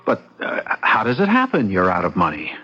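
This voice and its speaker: A man's voice: low-pitched, wildly swooping pitch, brisk, over loud music. An actor playing a mild, hesitant political husband who questions his wife in a radio drama. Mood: puzzled, probing. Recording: muffled, lo-fi radio drama sound.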